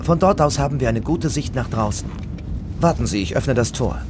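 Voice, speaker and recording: A man's voice: mid-range voice, lively intonation, normal speed, lightly acted. An actor playing a polite, reserved man in an audio drama, speaking formally and a little hesitantly. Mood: guarded. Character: polite, reserved male local ally. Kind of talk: German audio drama acting. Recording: car and street noise behind.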